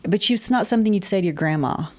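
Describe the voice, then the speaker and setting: A woman who teaches and interprets sign language, giving clear, teacherly explanations with examples during an interview. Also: mid-range voice, expressive, very fast.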